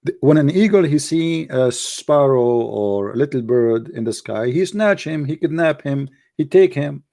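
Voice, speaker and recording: A man's voice: mid-range voice, lively intonation, unhurried, mellow, dry recording, studio quality. A man whose first language is Arabic, hosting a live unscripted debate in an insistent, challenging manner, often repeating himself. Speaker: host debating a guest.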